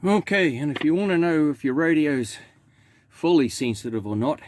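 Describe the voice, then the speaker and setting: A man's voice: on the high side, expressive, normal speed. A man with a New Zealand accent giving a casual, matter-of-fact hands-on walkthrough as a technician. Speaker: radio technician narrating own repair video. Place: in and around a truck cab. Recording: clear background noise, vehicle ambience.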